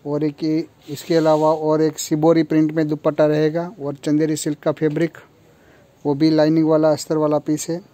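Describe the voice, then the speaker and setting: Young male seller from India, monotone delivery, mellow, slightly high voice, phone recording in a shop. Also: normal speed. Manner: flat, matter-of-fact listing of features. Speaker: kurti factory seller. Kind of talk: product showcase walkthrough. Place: clothing store with fabric shelves.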